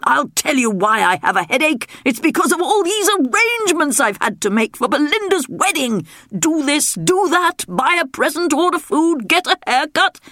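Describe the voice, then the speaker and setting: A loud, indignant old-fashioned storybook king, bald and put-upon, roaring his complaints in a high, lively, strained voice, as a woman narrator puts him on. The sound is close and dry. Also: moderate pace, few pauses, dry recording. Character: blustering bald male king, grumpy and complaining.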